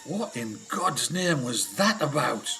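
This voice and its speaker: An actor playing a cynical, complaining research scientist on a jungle expedition in a radio play. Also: male voice, mid-range voice, very animated, slow, sharp tone, exaggerated voice. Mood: grumbling, sardonic. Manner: gruff complaining, sardonic, mild swearing.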